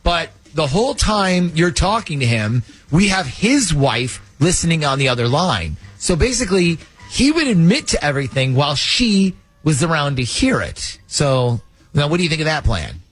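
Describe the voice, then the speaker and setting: A man hosting a radio call-in show in a studio, his voice slightly husky and a little higher than most. He talks in a lively, joking, conspiratorial way, and his pitch moves a lot. A faint music bed plays underneath. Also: moderate pace. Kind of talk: morning radio call-in segment.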